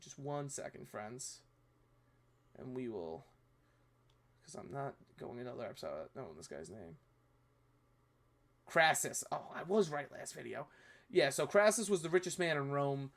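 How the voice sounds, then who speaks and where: A man's voice: medium pitch, expressive, moderate pace, vocal fry, pauses often, fairly bright tone. A man with a New York accent hosting a history review channel, talking casually and correcting himself as he goes. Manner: rambling, self-correcting, apologetic asides. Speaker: history video host. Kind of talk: casual commentary reviewing a video.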